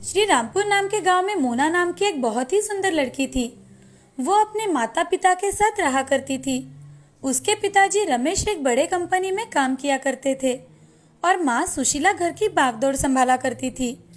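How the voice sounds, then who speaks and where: A woman's voice: very high voice, animated, normal speed. A woman storyteller reading a scripted moral tale as narrator. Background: faint background music.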